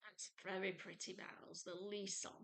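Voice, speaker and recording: A woman's voice: mid-range voice, flat delivery, fairly bright tone. A woman in her fifties with a North Lancashire accent, chatting casually to her webcam from her home sewing room as a sewing vlogger. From England. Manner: chatty, unhurried, homely. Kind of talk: casual chat to camera and screen walkthrough.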